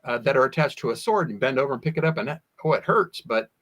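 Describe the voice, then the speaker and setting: A man's voice: mid-range voice, lively intonation, fast, a bit bright. A man talking at length as an interview guest, telling personal anecdotes and often acting out his own past words. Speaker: guest, sword swallower. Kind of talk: animated anecdotal interview storytelling.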